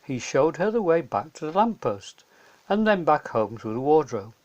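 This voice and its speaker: A man's voice: on the high side, very animated, normal speed, highs cut off. A man narrating a scripted children's story as a storyteller.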